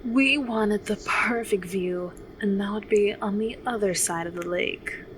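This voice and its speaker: A woman playing a fond, gently teasing frontier mother in an audio drama. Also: medium pitch, unhurried, a little hiss or hum, lightly acted.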